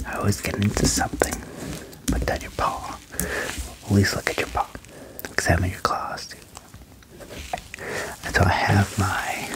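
A young man whispers gently and reassuringly in an ASMR medical roleplay as a doctor, close to the microphone. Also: lowish voice, low-quality recording. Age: young adult. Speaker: ASMR performer role-playing a doctor. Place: small indoor room. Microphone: close desk mic with furry windscreen.

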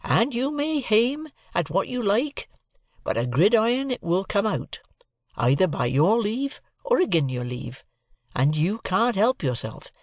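A man voicing a simple, kindly male village blacksmith who speaks slowly and earnestly in rustic speech. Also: sits high, big pitch swings, no room sound, studio quality, voice pushed up.

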